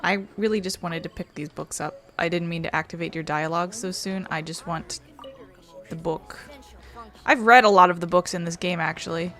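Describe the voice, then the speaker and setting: A woman's voice: fairly low pitch, normal speed, a bit bright, faint music behind. A woman giving casual gameplay commentary as a game streamer.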